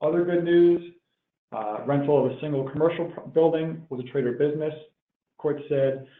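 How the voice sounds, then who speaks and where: A man presenting a technical webinar in a measured, explanatory way, as if working through prepared points. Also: medium pitch. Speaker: webinar presenter, tax professional. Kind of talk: explanatory tax webinar lecture. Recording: tinny phone-like line, roomy echo.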